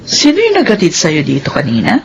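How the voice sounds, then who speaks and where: A woman's voice: deep voice, huge pitch swings, fairly quick, creaky, full character voice. An actress playing a tender, proud middle-aged mother and grandmother in a radio drama. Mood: warm. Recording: loud ambient noise behind.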